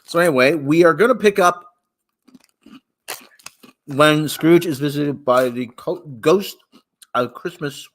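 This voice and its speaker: A man's voice: measured pace, long pauses. A man hosting a casual live-stream podcast, chatting loosely before a reading. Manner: lively, chatty, talks baby talk to his cat in a high voice. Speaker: podcast host.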